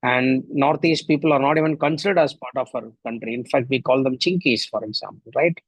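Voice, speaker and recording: A man's voice: mid-range voice, a little fast, husky, dry recording, rough recording. A middle-aged man from India with an Indian accent and Tamil as his first language, giving a reflective solo podcast monologue full of rhetorical questions. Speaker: podcast host.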